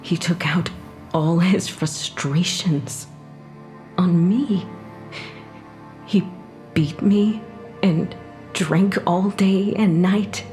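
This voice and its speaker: An actress playing the ghost of a murdered young mother in an audio drama, sharp-tongued and emotional, halting when upset. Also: fairly low pitch, soft background music. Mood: grieving, bitter. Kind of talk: dramatic audio fiction acting.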